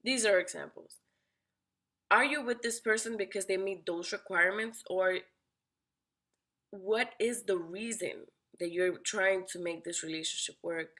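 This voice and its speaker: A woman giving a weekly tarot horoscope reading, direct and questioning, her voice raspy from being under the weather. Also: mid-range voice, measured pace, fairly bright tone. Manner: direct, questioning, addresses the listener by sign. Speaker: tarot reader.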